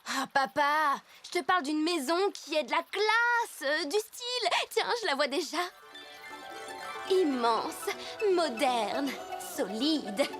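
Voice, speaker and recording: A woman's voice: sits high, very animated, takes it slowly, a bit bright, exaggerated voice. A woman voicing a greedy, haughty teenage girl who schemes to get rich, in a cartoon. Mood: dreamy. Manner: haughty, scheming, gushing about wealth. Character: greedy bossy teenage girl, older sister. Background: background music.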